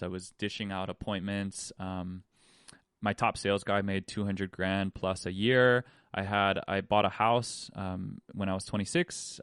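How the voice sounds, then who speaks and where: A man's voice: lowish voice, clean tone, no room sound, clean studio sound. Candid man musing aloud. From Hawaii. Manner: candid, rambling, with many restarts and fillers. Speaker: podcast host. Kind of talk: reflective personal monologue.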